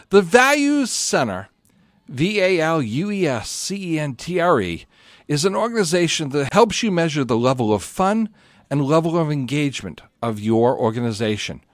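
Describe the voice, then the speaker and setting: Lively male business show host. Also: on the high side, no echo, clean studio sound. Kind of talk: hosted business interview.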